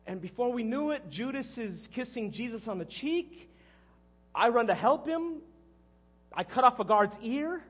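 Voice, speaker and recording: An actor playing a guilt-ridden, impulsive fisherman disciple in a halting, conversational dramatic monologue, with a husky male voice. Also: very high-pitched, lively intonation, lo-fi sound, full character voice. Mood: wry, agitated. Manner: halting, conversational, erupting into shouts. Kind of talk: dramatic first-person monologue.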